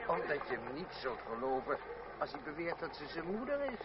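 An actor playing a foppish, gossipy young rival in a radio play, with a sly, insinuating manner. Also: male voice, on the high side, sing-song, brisk, a loud crowd. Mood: mocking. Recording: dull, very noisy old radio recording.

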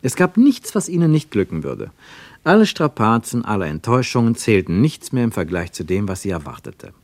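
A man's voice: fairly low pitch, very animated, fairly quick, a little mellow, no room sound. A man narrating a literary radio drama from a script.

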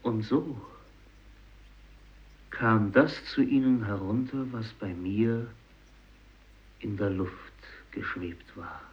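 Slow, low-voiced dying fisherman. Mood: insistent questioning. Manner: deliberate, insistent, questioning a listener directly. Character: dying Japanese fisherman, bitter, haunted, brooding. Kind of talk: 1950s German radio play monologue. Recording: dull vintage radio recording.

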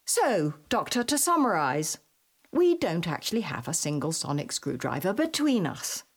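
A woman's voice: on the low side, big pitch swings, moderate pace, breathy edge, full character voice. A woman voicing a cool, superior, exasperated female travelling companion in a dramatised audiobook. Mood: exasperated, dry.

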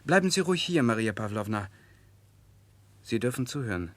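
A man's voice: medium pitch, very animated, fairly quick, no room sound, lightly acted. A man playing a principled, protective political exile in a 1960s German radio drama, speaking formally and directly. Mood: resolute.

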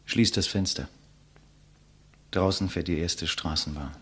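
An actor playing a condemned idealistic man in a 1950s German radio play, speaking solemnly and rhetorically with a husky voice. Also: sits low, measured pace, a bit bright, a little hiss or hum. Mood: weary, curt. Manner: solemn, rhetorical, impassioned declamation. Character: condemned man, idealistic intellectual, weary and resigned facing his executioner.

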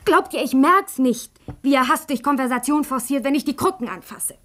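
An actress in a German radio play playing a proud, bitter, sickly young woman, accusing and agitated, dropping into hushed intensity. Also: sits high, animated, normal speed. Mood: bitter, anguished.

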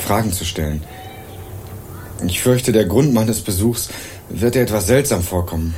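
An actor playing an earnest young male student investigator in a radio crime drama, narrating and questioning in a measured, dry way. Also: lowish voice, a little mellow, a little hiss or hum, lightly acted. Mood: earnest, probing. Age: young adult. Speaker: narrator and lead character.

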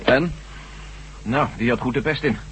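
An actor playing a weary, dutiful army general in a radio drama, gruff and resigned in manner. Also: male voice, medium pitch, measured pace, a bit bright, slight background noise, natural voice, poor audio.

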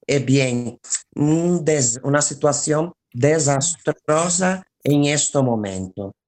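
An interview guest explaining a collective's work in halting phrases with repetitions. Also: medium pitch, lively intonation, a little mellow. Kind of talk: spoken radio interview, explaining.

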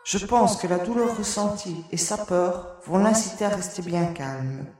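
A woman playing a determined space explorer leading a rescue, giving calm, commanding orders in an echoey hall. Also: mid-range voice, a little slow, close to an everyday voice. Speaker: audio drama character. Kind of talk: audio drama acting.

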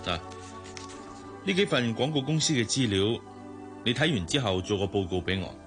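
An actor playing a businesslike, authoritative general manager in a radio drama, formal and instructive, with a Hong Kong Cantonese accent. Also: male voice, lowish voice, lively intonation, moderate pace, music in the background, close to an everyday voice.

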